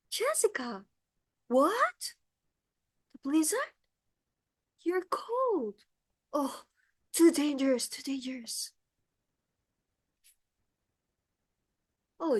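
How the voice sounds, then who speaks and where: A woman's voice: high-pitched, heavily acted. A woman playing an imperious middle-aged society hostess in a comic stage play, theatrical, exclamatory and easily enraged. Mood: gushing.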